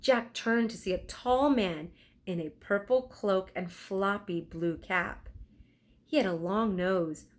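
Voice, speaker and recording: A woman narrating a children's storybook aloud in an expressive, deliberate storytelling style. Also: medium pitch. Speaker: teacher reading aloud.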